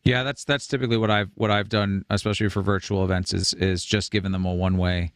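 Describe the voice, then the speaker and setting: A man's voice: sits low, level tone, quick pace, no room sound. A man in his forties moderating a webinar in a conversational way, speaking into a close studio microphone. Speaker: host and moderator. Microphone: close broadcast mic on a boom arm.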